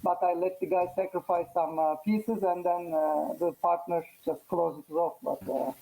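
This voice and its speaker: A man from Turkey, a Turkish native speaker, chatting casually as a guest after a chess match, halting and full of fillers. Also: high voice, fairly even intonation, vocal fry, warm tone, poor audio. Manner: halting, many fillers and restarts. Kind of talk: casual post-match interview.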